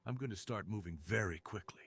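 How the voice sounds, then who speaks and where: A man voicing an alluring, dominant crime boss in an intimate ASMR roleplay, speaking in a slow, teasing, hushed way. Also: fairly low pitch, vocal fry. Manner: slow, teasing, hushed delivery that drops into whispers. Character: alluring, dominant, seductive male crime boss with a tender side.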